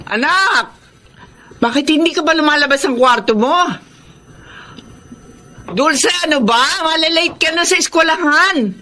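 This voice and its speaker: A woman's voice: sits high, a little slow, pauses often, pushed and bright. A woman playing an insistent, scolding mother in a radio drama. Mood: impatient, worried. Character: impatient mother calling her child.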